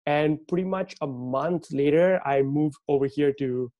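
A man's voice: fairly high pitch, unhurried, warm tone, no echo, dull audio. A young man from Malaysia, a guest on an interview podcast, talking in an easygoing, agreeable way. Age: young adult. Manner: easygoing, agreeable, says "yeah" often. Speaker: guest, visual artist. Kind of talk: interview conversation.

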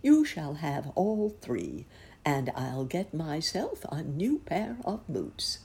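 A woman reading aloud and voicing a polite, kindly old rabbit gentleman in a gentle manner. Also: sits low, wildly swooping pitch, takes it slowly, husky, heavily acted.

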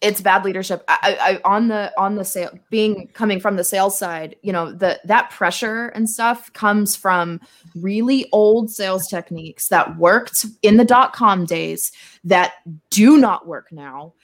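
A woman's voice: mid-range voice, unhurried. A woman chatting casually as a podcast guest, speaking in a candid, earnest way. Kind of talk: casual chat.

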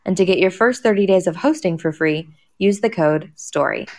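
A woman's voice: on the low side, normal speed, darkish tone. A woman host delivering a scripted promotional introduction for a live session.

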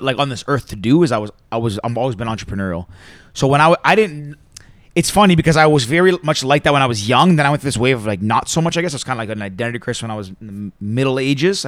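A man's voice: mid-range voice, no echo. A man, a guest talking casually on a podcast, full of likes and swearing. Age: 30s. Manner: animated, swears a lot, says like often. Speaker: guest, app co-founder.

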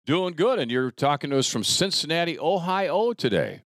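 A man's voice: a little high, animated, moderate pace, fairly bright tone, no room sound, clean studio sound. An American man hosting a casual talk show in a folksy, wry manner. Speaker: podcast host.

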